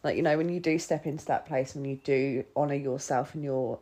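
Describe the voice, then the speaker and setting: A woman's voice: extremely low pitch, fairly even intonation, brisk, mellow. A woman talking casually and confessionally as a podcast host, with informal phrasing full of "like". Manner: informal, sweary, frequent "like" and self-quoting. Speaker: podcast host sharing personal experience.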